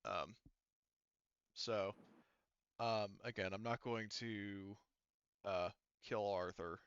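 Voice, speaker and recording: A man running a tabletop roleplaying game as game master, casual and sweary, halting as he mutters through the rules. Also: lowish voice, fairly even intonation, a bit bright, clean tone, no echo, dull audio. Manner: halting, muttering to himself while checking rules.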